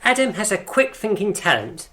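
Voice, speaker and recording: A woman's voice: mid-range voice, big pitch swings, moderate pace, a bit bright, a little hiss or hum. A woman playing the self-regarding leader of a poetry circle in a radio drama, with a pompous, arch manner. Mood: brisk, arch.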